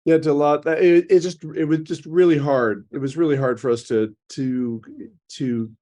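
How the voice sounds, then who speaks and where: A man speaking as an investor judge, questioning casually in a halting, puzzled way. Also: on the high side, fairly even intonation, mellow.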